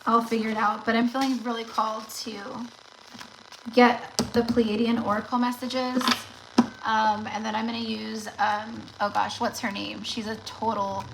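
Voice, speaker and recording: A woman hosting a casual tarot livestream, chatty and rambling, talking straight to her viewers, with her voice going slightly raspy. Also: on the high side, flat delivery, measured pace, noisy background.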